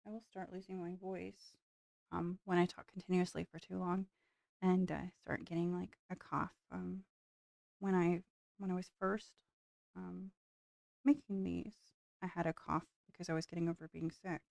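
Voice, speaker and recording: A woman's voice: fairly low pitch, little pitch movement, normal speed, creaky, darkish tone, dry recording. A woman vlogger chatting casually while building miniatures, her voice tiring from long talking, meandering with lots of fillers. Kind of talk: rambling casual chat while building models.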